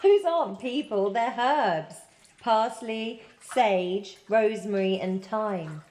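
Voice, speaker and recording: An actress playing a firm but caring wartime nurse in a radio play, brisk, proper and bossy. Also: female voice, medium pitch, a little mellow, natural voice. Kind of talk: 1940s-set radio play acting.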